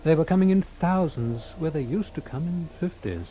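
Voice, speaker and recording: A man narrating a scripted literary tale in a measured, solemn manner. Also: a little high, animated, mellow, faint music behind, poor audio.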